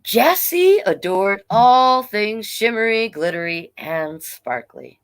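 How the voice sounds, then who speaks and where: A woman's voice: medium pitch, expressive, takes it slowly, smooth. A woman storyteller reading a children's book aloud, with informal, playful asides. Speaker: drag king storyteller reading children's books.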